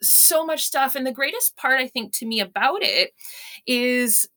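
A woman with a loud voice, chatting in an animated, jokey and self-deprecating way as an interview guest. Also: high-pitched, measured pace, slight background noise. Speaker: interview guest, science communicator. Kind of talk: animated casual chat, telling anecdotes.

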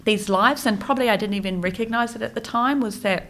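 A woman's voice: fairly high pitch, fast, fairly bright tone. A woman in her forties with a New Zealand accent, a guest talking reflectively in a casual interview, with many fillers and restarts.

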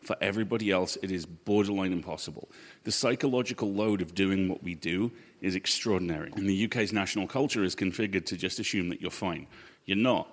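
A man with a low voice answers on a live panel in an echoey hall. He is earnest and measured, often landing his advice in short, punchy sentences. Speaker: panel guest. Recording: echoey hall with long reverb.

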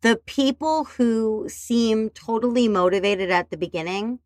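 A woman's voice: fairly high pitch, unhurried, darkish tone, no room sound, clean studio sound. A woman hosting a podcast who chats playfully and animatedly and speaks directly to the listeners. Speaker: podcast host. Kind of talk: casual conversation.

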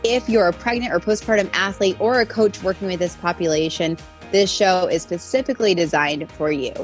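A woman's voice: fairly low pitch, brisk, faint music behind. Upbeat woman podcast host. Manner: upbeat, enthusiastic. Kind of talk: podcast intro and interviewing.

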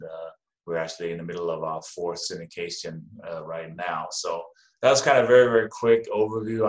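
Echoey middle-aged man with a Danish accent. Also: low-pitched. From Denmark. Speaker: podcast guest, real estate investor. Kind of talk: casual interview talk. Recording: roomy, echoing sound.

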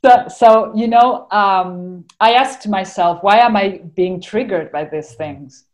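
A woman's voice: medium pitch, slow, a little mellow. Earnest Argentinian woman, rambling. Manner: earnest, rambling, lots of "you know". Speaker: podcast guest. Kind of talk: casual spiritual conversation.

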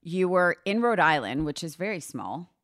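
Low-voiced effusive woman host. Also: sing-song, fairly bright tone, dry recording, clean studio sound. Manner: effusive, eager, quick to react. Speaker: podcast host. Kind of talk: casual interview chat.

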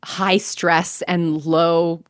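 A woman's voice: lowish voice, flat delivery, very slow, no room sound, clean studio sound. A young American woman telling her own story casually in an interview, chatty and self-deprecating. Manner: chatty, self-deprecating, laughs while talking. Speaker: podcast creator and actor interviewed.

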